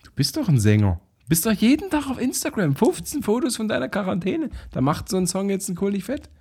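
A man teasing his co-hosts on a casual podcast, laughing often. His voice is husky and dark, with pitch that jumps around lively. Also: brisk. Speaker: podcast co-host. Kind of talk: casual banter.

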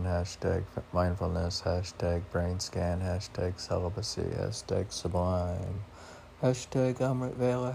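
A man's voice: deep voice, creaky, warm tone, slight background noise. A man informally reading his own poetry and musing aloud about it, often questioning himself as if in conversation. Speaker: poet reading and commenting on own poems.

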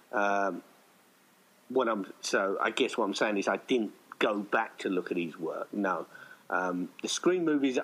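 Deep-voiced, rambling middle-aged man. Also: a bit bright, slightly rough, poor audio. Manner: rambling, conversational, often circling back. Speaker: guest filmmaker being interviewed. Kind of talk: casual interview chat.

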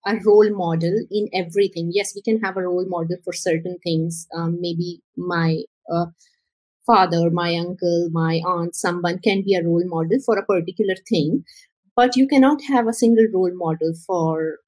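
Husky low-voiced Pakistani woman. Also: fairly even intonation, normal speed, a little mellow, no echo, rough recording. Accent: Pakistani English. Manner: hesitant, many ums and uhs. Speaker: podcast guest, founder. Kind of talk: casual interview storytelling.

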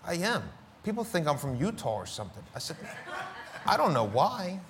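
A middle-aged male pastor with a Philadelphia accent, preaching with dramatic swings between loud declarations and hushed words, and acting out conversations as he tells stories. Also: fairly high pitch, sing-song, moderate pace, slight background noise. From Philadelphia inner city. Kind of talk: sermon.

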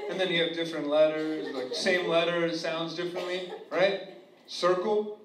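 A man's voice: on the high side, steady tone, moderate pace. A young man from New Jersey doing a crude, rambling stand-up set, full of fillers and laughing at his own jokes. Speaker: stand-up comic at an open mic. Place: comedy club room with a live audience. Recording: echoey room, far from mic, loud hiss.

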